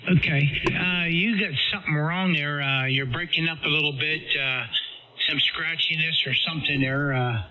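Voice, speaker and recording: Man, fairly high voice, relaxed radio chat, heard through a noisy, lo-fi amateur transmission. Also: moderate pace. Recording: dull, noisy ham radio signal.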